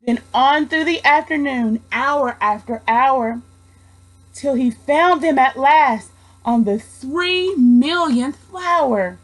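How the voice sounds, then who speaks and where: A woman narrates a rhyming children's story. Her voice sits fairly high and she takes her time, reading expressively. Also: slow pace, rough recording. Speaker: storybook reader, student teacher.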